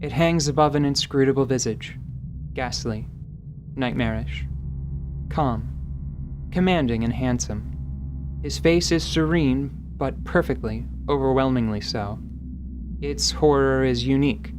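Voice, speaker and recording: Man narrating fantasy over clear background ambience. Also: medium pitch, noisy background. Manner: measured, literary, deliberate phrasing. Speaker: narrator of an audio drama. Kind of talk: scripted dramatic fantasy narration.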